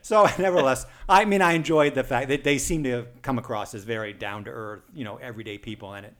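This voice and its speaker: A man's voice: medium pitch, fast talker, a bit bright. A man with a Canadian accent co-hosting a podcast, giving casual opinions in a rambling way that circles back and repeats phrases. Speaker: podcast co-host. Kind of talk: casual conversational review.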